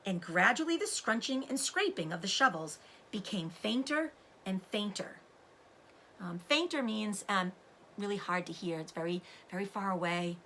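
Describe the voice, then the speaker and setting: Animated woman reading a children's story aloud. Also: medium pitch, moderate pace, a little hiss or hum. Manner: animated, explains words to children. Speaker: teacher-like read-aloud host.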